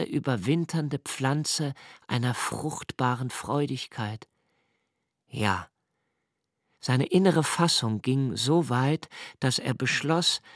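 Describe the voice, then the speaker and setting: An actor playing a brooding, intense male narrator in a literary radio drama, with halting, contemplative and emphatic phrasing. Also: medium pitch, fairly bright tone, no echo, studio quality. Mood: intense, reflective. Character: brooding male narrator reciting a prodigal's homecoming, searching and intense.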